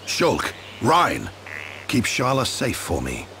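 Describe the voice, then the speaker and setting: A solemn army leader in a fantasy game, formal in speech yet very varied in intonation. Faint ambience lies behind him. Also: male voice, on the low side, wildly swooping pitch, slow, pushed and bright, slight background noise, exaggerated voice. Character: formal, dutiful male military leader, humbly grateful. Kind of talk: video game cutscene acting.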